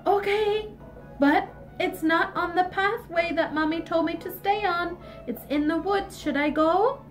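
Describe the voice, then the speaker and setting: A woman voicing a sweet, eager little girl in a storybook tale, with a high, chirpy childlike voice. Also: measured pace, soft background music. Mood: cheerful.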